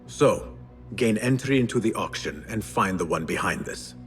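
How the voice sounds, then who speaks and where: An actor playing a young male assassin in a game, calm, with a dry wit. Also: fairly low pitch, moderate pace, soft background music.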